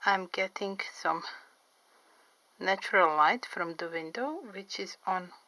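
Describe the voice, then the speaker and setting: Middle-aged woman, English not her first language, slow deliberate delivery, bright gravelly tone. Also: fairly low pitch, no echo. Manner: slow, careful, clearly enunciated, pauses often. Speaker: artist and interpreter. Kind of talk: drawing tutorial vlog chat. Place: home studio by a window.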